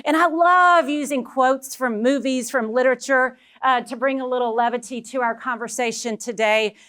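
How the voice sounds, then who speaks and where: A woman with a Texan drawl delivers a motivational keynote in a conference room. Her voice sits high and bright. She speaks with energy and turns often to the audience. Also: moderate pace. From Texas. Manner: energetic, personal, talks directly to the audience. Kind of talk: motivational presentation to a live audience.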